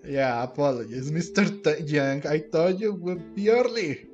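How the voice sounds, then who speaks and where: A man giving casual, halting game commentary and reactions, often with a chuckle. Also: on the high side, a little mellow, lo-fi sound, faint music behind. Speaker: gameplay streamer.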